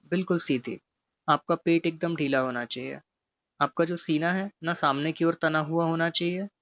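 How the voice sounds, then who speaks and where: A man teaching guided meditation, giving gently repetitive, step-by-step instructions. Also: a little high, lo-fi sound.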